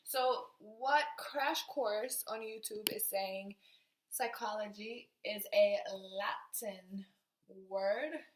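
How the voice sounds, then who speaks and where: A woman's voice: medium pitch, drawn-out words. Young woman musing aloud in a roomy space. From Norway. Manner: thinking aloud, restarting and trailing off while writing on a board. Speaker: self-study vlogger. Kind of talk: casual explaining to camera. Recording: roomy echo.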